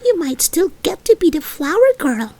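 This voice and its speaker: A woman voicing a comforting, hopeful little male stuffed elephant in a children's storybook, with encouraging, drawn-out delivery. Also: extremely high pitch, big pitch swings, a little mellow, pitched above the performer's own voice. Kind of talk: animated children's storybook reading.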